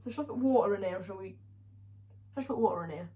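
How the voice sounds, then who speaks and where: A woman's voice: medium pitch, rapid-fire, dark tone, slightly rough. A woman joking around while baking in a home kitchen, often trailing off into mumbles. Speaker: co-host baking for a video. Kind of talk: casual chat.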